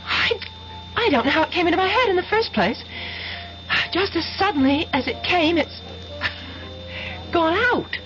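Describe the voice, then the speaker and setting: A woman's voice: very high-pitched, expressive, moderate pace, pauses often, a bit bright. An actress playing an adoring, breathless young woman student in a radio drama, with a gushing, hesitant delivery. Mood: dazed. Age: young adult. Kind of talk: 1970s radio drama acting. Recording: dull 1970s radio broadcast, music behind.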